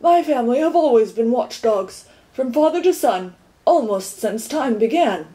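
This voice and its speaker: A woman's voice: fairly high pitch, expressive, moderate pace, dark tone, close to an everyday voice. A woman voicing an earnest male watchdog who declaims grandly and gets carried away. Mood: proud. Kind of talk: audiobook character voice.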